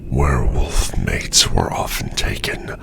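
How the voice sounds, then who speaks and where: Rumbling, gravelly werewolf veteran. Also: male voice, expressive, whispered, heavily acted. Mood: grave, measured. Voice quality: very deep, gravelly, growling. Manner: slow, deliberate, archaic formal speech. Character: defiant gruff werewolf clan veteran, scornful of vampires. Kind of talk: fantasy audio roleplay acting.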